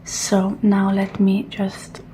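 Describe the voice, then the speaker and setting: A woman doing an ASMR roleplay as a technician, speaking softly and gently in murmured reassurances and instructions, often slipping into a breathy whisper. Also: mid-range voice, completely flat delivery, slow, slight background noise, low-quality recording. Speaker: ASMR roleplayer playing a technician who examines a robot. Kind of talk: ASMR roleplay, soft personal attention.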